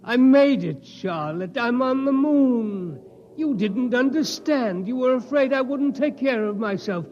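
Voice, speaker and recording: Frail, wistful old man, slow delivery, vintage radio drama, dull noisy recording. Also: very high-pitched, animated, a little mellow. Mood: joyful, fervent. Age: older. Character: frail, dying old man yearning for the moon. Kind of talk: 1950s radio drama acting.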